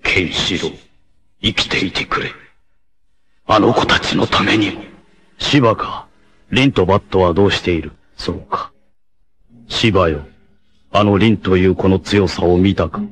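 Noble anime warrior, low voice, fatherly, resolute, solemn, measured dramatic delivery. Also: fairly bright tone. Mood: solemn, tender. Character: noble blind rebel warrior, fatherly, self-sacrificing, resolute.

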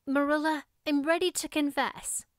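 A woman's voice: sits high, steady tone, moderate pace, dry recording, studio quality, pitched above the performer's own voice, close to an everyday voice. A woman voicing an imaginative, earnest young girl with a theatrical, pleading manner, reading from a storybook. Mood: solemn, dreamy.

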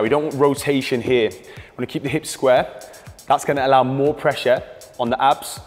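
A British man guides a workout with calm, steady coaching cues. His voice carries a long reverb from the hall, with faint music under it. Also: medium pitch. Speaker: fitness coach. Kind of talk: instructional workout voice-over.

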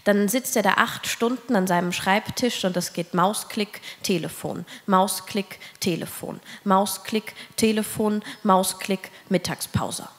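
A woman's voice: mid-range voice, a little slow, few pauses. A woman in her twenties performing a rhythmic poetry slam story on stage. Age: 20s. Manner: rhythmic, repetitive listing. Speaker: slam poet. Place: live stage in an indoor event hall. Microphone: handheld-type mic on stand. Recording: reverberant hall.

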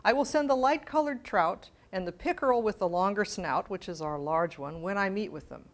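An older woman giving a public lecture, reading letters aloud in a measured, precise way and adding informal asides. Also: medium pitch. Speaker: guest lecturer. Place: medium-sized library meeting room with seated audience. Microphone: lapel mic.

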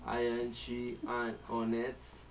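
A young man from Colombia in his twenties, speaking English with a Colombian Spanish accent as a learner and reciting a self-introduction word by word with pauses. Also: medium pitch, monotone, far from the mic, slight background noise. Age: 20s. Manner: halting, word-by-word delivery with pauses. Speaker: student. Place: small living room at home. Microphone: camera in front of the speaker.

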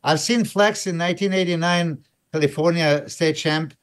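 A man's voice: on the high side, normal speed. Easygoing middle-aged man telling stories. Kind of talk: casual group chat on a video call.

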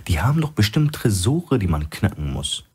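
Guy narrating as a wary evasive hostage man, guarded, husky, thriller audiobook. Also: lowish voice, very animated, normal speed, dark tone, voice pushed up, heavily acted. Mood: wary, reasoning. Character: wary, evasive male hostage, reasoning things out.

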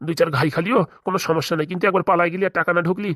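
A woman puts on a timid male lawyer for a comic cartoon. The voice is lowish and very rapid, dry in sound, with a whining, pleading manner and rural Bengali accent. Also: fairly even intonation, fairly bright tone, no room sound, lightly acted. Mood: anxious. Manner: pleading, fretful, stammering.

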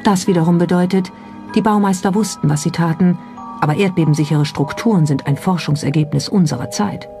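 A documentary narrator reading a script in a measured, explanatory way and posing rhetorical questions. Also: mid-range voice, mellow. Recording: music behind the voice. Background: clearly audible background music.

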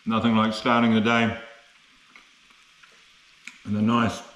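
A man's voice: fairly low pitch, monotone, takes it slowly, fairly bright tone, rough recording. A man narrating a casual camping and hiking vlog to camera in a chatty, understated way, often adding little tag questions. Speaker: solo hiking vlogger.

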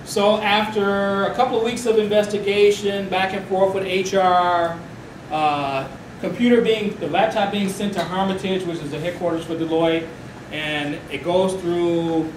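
A man's voice: high-pitched, a little slow. Man telling a story in an echoey hall. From Detroit. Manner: loud, animated storytelling. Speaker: guest speaker. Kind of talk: storytelling presentation. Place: dim lecture room. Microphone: far camera, no mic. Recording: echoey room from far off, hiss.

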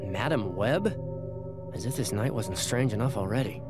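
A man's voice: fairly low pitch, expressive, moderate pace, lightly acted. An actor playing a sarcastic, quippy young male superhero in a video game. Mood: baffled, joking. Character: wisecracking, flippant young adult male superhero. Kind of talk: video game cutscene acting. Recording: loud music over the voice.

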